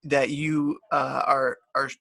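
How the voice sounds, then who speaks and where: Gravelly-voiced male interviewer, halting. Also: medium pitch, flat delivery, bright, forceful tone. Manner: hesitant, many fillers. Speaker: interviewer, host. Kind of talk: remote interview.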